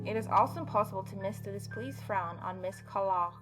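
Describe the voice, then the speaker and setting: A woman's voice: mid-range voice, brisk, over music, rough recording. A woman hosting a gaming video, reading visual novel narration aloud in a dramatic storytelling way with playful asides.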